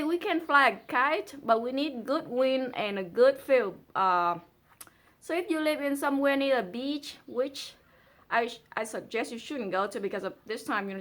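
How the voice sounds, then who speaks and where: Bright-voiced Vietnamese woman, casual and playful. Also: fairly high pitch. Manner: playful, giggly asides. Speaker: presenter reading a translated poem. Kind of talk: casual chatty poetry reading with asides.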